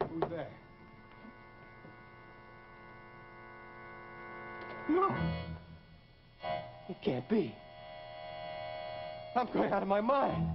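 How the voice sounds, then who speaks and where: An actor playing a haunted, guilt-ridden gentleman losing his mind, intense and theatrical in old radio horror style. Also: high-pitched, exaggerated voice. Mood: hysterical terror. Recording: muffled old radio recording, loud music behind.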